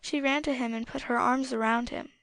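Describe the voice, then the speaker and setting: High-pitched, creaky woman storyteller. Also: fairly even intonation, brisk, fairly bright tone, no echo. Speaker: audiobook narrator. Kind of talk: scripted story narration.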